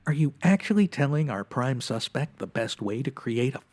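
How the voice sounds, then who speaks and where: A man playing a stern, no-nonsense police sergeant with clipped, deadpan authority in 1950s radio detective style. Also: mid-range voice, normal speed.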